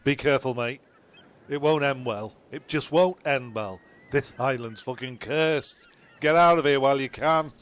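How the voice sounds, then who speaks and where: A man's voice: mid-range voice, moderate pace, fairly bright tone, lightly acted. A man playing a gloomy, half-dead pilot who gives ominous warnings in a comedy audio drama. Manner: ominous, complaining. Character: doomed half-buried pilot, gloomy warning cursed survivor.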